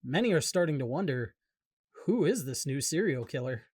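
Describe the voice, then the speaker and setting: Brief: man doing a TV field reporter, higher voice, dramatic news cadence, dry mic. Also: very animated, normal speed, husky, dry recording. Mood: newsy. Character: upbeat male TV field reporter. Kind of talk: audio drama acting.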